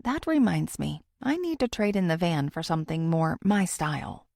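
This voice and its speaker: A woman's voice: on the low side, sing-song, moderate pace, vocal fry, a little mellow, dry recording, studio quality. A woman reading a first-person thriller audiobook as a scripted narration.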